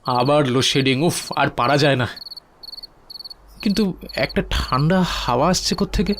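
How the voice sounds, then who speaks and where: A man's voice: a little high, animated, moderate pace, close to an everyday voice. Man acting an anxious young boy, noisy background. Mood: uneasy, irritated. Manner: dramatic, muttering anxiously to himself. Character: brave young village boy, frightened and troubled. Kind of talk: cartoon horror story acting.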